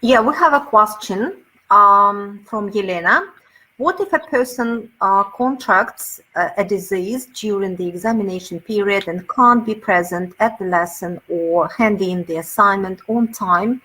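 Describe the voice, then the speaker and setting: A woman's voice: medium pitch, measured pace, sharp tone, rough recording. A woman with a Russian accent, a webinar speaker answering audience questions in a thoughtful, explanatory way over a video call. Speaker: webinar speaker, teacher trainer.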